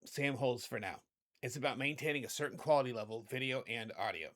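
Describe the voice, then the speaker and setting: A middle-aged man with a hoarse voice hosting a casual video editing tutorial, chatty and rambling, often correcting himself. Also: medium pitch, quick pace, fairly bright tone. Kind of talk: casual screen-recorded tutorial.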